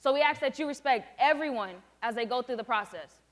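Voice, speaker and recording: A late-teen young woman with a notably high voice presents her project and plays a group facilitator. She explains things firmly, in a lecturing, no-nonsense way. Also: brisk. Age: late teens. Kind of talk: student presentation with a scripted role-play.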